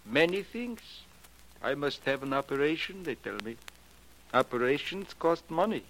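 A portentous observatory janitor in an old radio play. His voice is fairly high and swings widely as he presses on in stilted, broken English, insistent and full of foreboding. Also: lively intonation, normal speed, a bit bright, slight background noise, poor audio. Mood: insistent, demanding. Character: ominous male observatory janitor obsessed with astrology. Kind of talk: 1940s radio acting. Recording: vintage 1940s radio recording.

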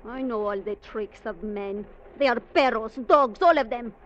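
Actress for fiery young Latin American woman, Spanish accent, highish voice, commanding, old-radio drama on a dull, hissy recording. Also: normal speed, close to an everyday voice. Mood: scornful, defiant. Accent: Spanish-accented English, Latin American. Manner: defiant, commanding, clipped speech. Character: fierce, proud young Latin American woman, armed fortune hunter. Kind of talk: 1940s radio drama acting. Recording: muffled, noisy vintage radio recording.

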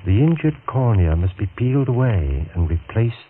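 An actor playing a vindictive, scheming male surgeon in a 1940s radio drama, measured and insinuating. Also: lowish voice, big pitch swings. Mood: persuasive. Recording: tinny old radio broadcast with faint hiss.

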